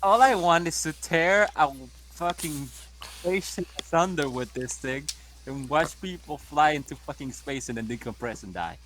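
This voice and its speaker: A man's voice: fairly high pitch, moderate pace, fairly bright tone. A man playing a tabletop role-playing game in character, speaking bluntly and swearing freely. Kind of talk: in-character casual game chat.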